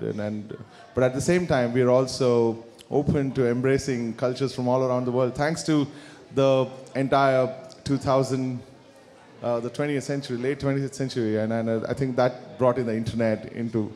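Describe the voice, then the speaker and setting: Indian-accented man, mellow voice, hall reverb. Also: mid-range voice. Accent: Indian English. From South India. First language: Kannada. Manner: halting, many restarts and fillers. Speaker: musician guest. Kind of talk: interview answers. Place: large store event space.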